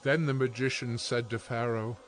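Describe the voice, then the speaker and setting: A man narrating scripture for a dramatized audio Bible in a measured, solemn storytelling manner. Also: mid-range voice, steady tone, slight background noise, poor audio.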